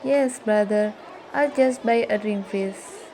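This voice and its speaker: A woman's voice: mid-range voice, takes it slowly, dark tone. A young woman with an Indonesian accent playing a polite, humble schoolgirl in an amateur radio drama. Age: young adult. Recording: noisy background with crowd ambience.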